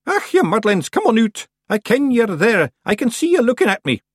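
A man's voice: on the high side, wildly swooping pitch, normal speed, dry recording, pitched above the performer's own voice, exaggerated voice. A man putting on a stern ancient witch. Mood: dry. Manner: crisp, dry, matter-of-fact. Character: very old stern calm witch woman.

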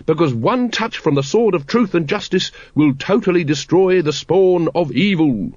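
Guy doing a pompous bossy cult master for a storybook, pitched up, muffled dry sound. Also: wildly swooping pitch, moderate pace, no room sound, full character voice. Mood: triumphant. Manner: commanding, condescending. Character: bossy, impatient, scheming male cult grand master.

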